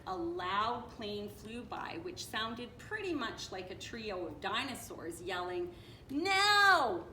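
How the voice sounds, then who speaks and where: A woman reading a children's picture book aloud expressively, with dramatic pauses and playful asides. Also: medium pitch, bright, forceful tone. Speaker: storybook reader, teacher. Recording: roomy echo, clear background noise.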